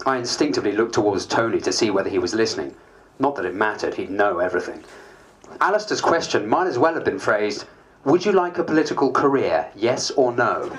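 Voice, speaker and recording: A man's voice: fairly low pitch, sing-song, brisk. A man playing a harried, self-mocking MP, narrating a dramatised diary in a dry, wry way. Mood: rueful. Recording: faint background noise.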